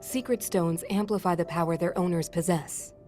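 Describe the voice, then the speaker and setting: A woman's voice: fairly low pitch, fairly even intonation, normal speed, close to an everyday voice. A woman voicing a composed, scholarly ancient sage and inventor in a video game, precise and explanatory. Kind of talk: video game cutscene voice acting. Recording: music behind the voice.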